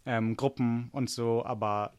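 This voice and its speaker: A man's voice: mid-range voice, fairly even intonation, a little slow, clean tone, no room sound. An American man, a native English speaker, co-hosting a podcast in casual chat, self-deprecating and full of restarts. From United States. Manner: chatty, self-deprecating, frequent restarts and fillers. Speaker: podcast co-host.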